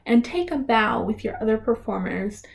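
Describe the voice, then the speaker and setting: A woman giving an instructional presentation for families, speaking with clear, deliberate enunciation. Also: fairly high pitch, animated. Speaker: presenter of a library reader's theater tutorial.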